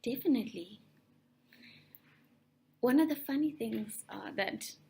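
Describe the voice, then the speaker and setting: Soft-spoken young South African woman. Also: on the high side, expressive, unhurried, vocal fry, a little hiss or hum. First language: Afrikaans. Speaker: interviewee. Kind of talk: casual interview answers.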